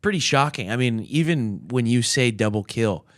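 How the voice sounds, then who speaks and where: A man's voice: mid-range voice, lively intonation, normal speed, no echo, studio quality. A male interviewer chatting casually with a guest. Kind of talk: casual chat.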